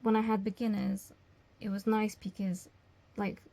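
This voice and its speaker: A young woman answering job interview questions as a language teacher, explaining things in a measured way. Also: mid-range voice, flat delivery, vocal fry, mellow. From England.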